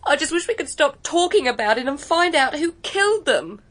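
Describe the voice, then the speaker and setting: High-pitched, distressed young woman. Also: sing-song, brisk. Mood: upset, insistent. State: upset. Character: distressed young woman, upset but brave. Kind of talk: radio drama acting.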